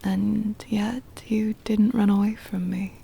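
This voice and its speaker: A woman playing a centuries-old vampire mistress, tender and possessive, in a hushed, breathy ASMR whisper with deliberate, formal wording. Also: medium pitch, level tone, full character voice. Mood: tender reminiscing. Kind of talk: ASMR roleplay, intimate scripted monologue.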